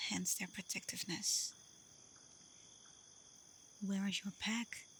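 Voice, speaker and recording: A woman playing a confident, teasing, protective werewolf alpha in an ASMR roleplay, speaking softly and breathily, often half-whispering, in a calm, unhurried way. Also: mid-range voice, slight background noise. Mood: teasing, knowing, then concerned, curious. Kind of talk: ASMR roleplay, soft intimate acting to the listener. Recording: night insects and forest ambience behind. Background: crickets, night forest ambience.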